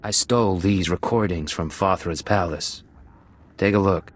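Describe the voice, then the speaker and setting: A man's voice: deep voice, measured pace. A man playing a confident, dedicated undercover imperial agent in a video game, with a cool, clipped, businesslike manner.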